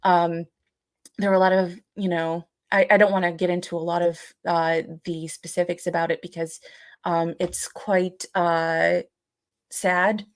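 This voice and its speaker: A woman with a slightly low voice, recorded close and dry, co-hosts a casual discussion podcast. She speaks haltingly, hedging and searching for her words. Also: little pitch movement, no room sound. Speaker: podcast co-host.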